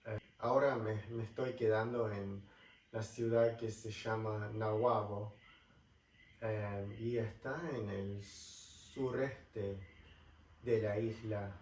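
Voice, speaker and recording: A young man from California speaking with an Argentine accent in a casual vlog, halting and searching for words, recorded in a rental apartment. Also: lowish voice, little pitch movement, darkish tone, a little hiss or hum. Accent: Argentine Spanish. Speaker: travel vlogger. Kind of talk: casual talk to camera. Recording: roomy, distant mic, faint ambience.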